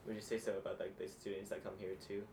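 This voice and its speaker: A man's voice: lowish voice, level tone, quick pace, gravelly, a little mellow, a little hiss or hum. A man interviewing casually, filling his questions with "like" and "um". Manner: casual, hedges with "like" and "um".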